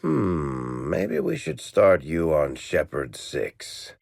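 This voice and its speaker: A male actor voicing a sardonic dwarf rogue and storyteller in a fantasy video game, with a gravelly, husky voice and a dry, teasing, wisecracking delivery. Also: rumbling low, huge pitch swings, takes it slowly, darkish tone, no room sound, full character voice.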